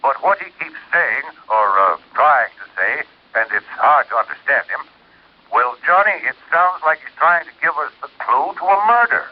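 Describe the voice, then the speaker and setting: A man's voice: a little high, animated, normal speed. A man playing a hesitant, chatty insurance office manager in an American radio drama, hedging and repeating himself, with an American accent. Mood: hesitant, concerned. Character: hesitant, chatty small-town insurance and real estate man. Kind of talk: mid-century American radio drama acting. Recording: hissy, dull old radio recording, telephone call.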